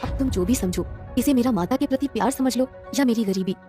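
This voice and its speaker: A woman voicing a humble, devout, patient poor young woman in a cartoon moral story, speaking gently and earnestly. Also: fairly high pitch, a little fast, faint music behind, natural voice.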